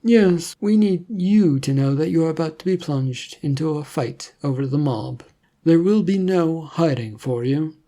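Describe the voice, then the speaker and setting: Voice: mid-range voice, unhurried, dark tone, close to an everyday voice. A narrator voicing a businesslike male government agent who explains things formally in a story. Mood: serious.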